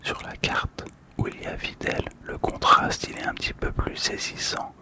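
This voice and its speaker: A man doing ASMR commentary in a soft, calm whisper, describing things gently and unhurriedly. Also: normal speed. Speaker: host of a manga card ASMR channel.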